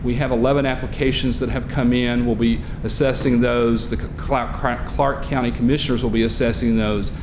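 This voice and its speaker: A man chairing a public commission meeting into a room microphone, with a loud voice and a halting delivery full of fillers and restarts. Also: medium pitch, steady tone, reverberant, noisy background. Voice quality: self-described loud voice.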